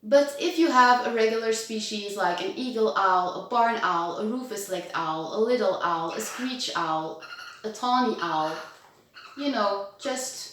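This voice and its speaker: A woman's voice: mid-range voice, unhurried, fairly bright tone. A woman in her thirties from Belgium, chatting casually through a home tutorial vlog, bubbly and talking to her pet owl and viewers. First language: Dutch. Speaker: owl-keeping vlogger. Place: home kitchen, indoors. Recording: very echoey room.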